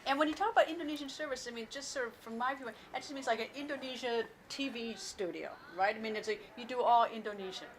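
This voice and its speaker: A woman's voice: fairly high pitch, fast, hardly any pauses, slight background noise. A woman interviewer asking direct, probing follow-up questions.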